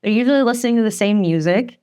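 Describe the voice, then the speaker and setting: A woman in her forties, a divorce attorney telling her story as a podcast guest, talking fast and chatty with frequent laughs and a slight Northeastern American accent. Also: fairly high pitch, mellow, clear, resonant tone. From Sweden. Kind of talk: candid podcast conversation.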